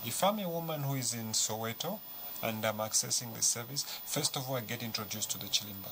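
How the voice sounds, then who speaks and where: A man's voice: medium pitch, lively intonation, quick pace, bright, forceful tone. A man with a Zambian accent explaining as a panel guest, conversational and given to rhetorical questions. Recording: clear background noise.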